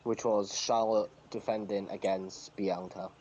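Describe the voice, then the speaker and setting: A man's voice: on the low side, level tone, a little slow, darkish tone. A man giving blunt, unimpressed opinions as a panelist in casual review chat. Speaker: podcast panelist. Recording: dull lo-fi mic with faint noise.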